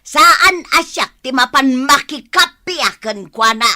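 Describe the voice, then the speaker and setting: A proud older village mother, acted by a woman in a radio comedy drama. She is stubborn and huffy, with a bright, fairly high voice that swings up and down as she nurses her grudge. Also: animated, slow pace, no room sound, clean studio sound, full character voice. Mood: stubborn, indignant.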